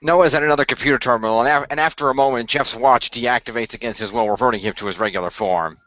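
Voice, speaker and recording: A man's voice: mid-range voice, fast, a bit bright, no room sound, lo-fi sound. A man giving improvised narration of his character's actions in an amateur radio play.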